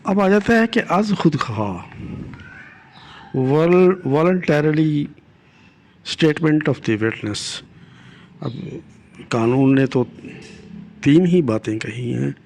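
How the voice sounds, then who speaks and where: An older Pakistani man teaches in a slow, measured delivery with a gravelly, creaky edge. He explains like a legal lecturer, restating key phrases and slipping into English terms. A light background noise sits behind his voice. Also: fairly high pitch, darkish tone. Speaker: retired judge giving legal lessons.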